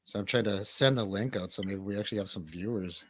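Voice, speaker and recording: Low-voiced man bantering on a livestream. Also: quick pace, a bit bright. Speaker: livestream host.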